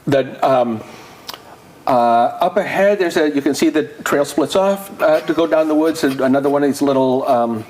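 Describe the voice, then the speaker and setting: Halting man running a tabletop game. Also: fairly high pitch, darkish tone, a little hiss or hum, rough recording. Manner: halting, searching for words with many fillers. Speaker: game master.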